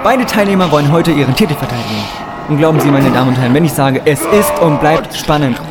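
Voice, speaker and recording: A man playing an excited, theatrical ringside commentator in a comic audio drama. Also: fairly high pitch, quick pace, lightly acted. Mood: showy, excited. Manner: theatrical, announcer-like. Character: excited showy male ringside fight commentator. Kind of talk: mock sports commentary. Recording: loud music behind the voice. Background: loud music.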